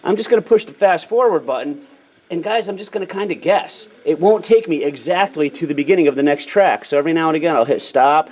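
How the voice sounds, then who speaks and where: A man's voice: a little high, fast, a crowd in the background. An American man giving a casual hands-on demonstration as an instructor, explaining plainly in small steps and checking in as he goes.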